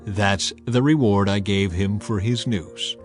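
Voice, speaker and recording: A man voicing a stern, righteous warrior king of ancient Israel in a grave, forceful, declamatory way, in a scripture audiobook. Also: lowish voice, unhurried, faint music behind, close to an everyday voice. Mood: stern, indignant.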